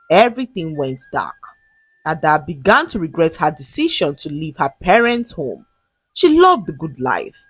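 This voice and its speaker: A woman tells a dramatic folktale in a husky voice that moves up and down expressively. It is recorded close and dry, with music underneath. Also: low-pitched, fairly bright tone, no room sound. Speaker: storyteller. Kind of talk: scripted dramatic folktale narration.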